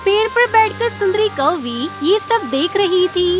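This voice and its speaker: A woman's voice: very high-pitched, lively intonation, unhurried, music in the background. A woman narrator reading a scripted children's story.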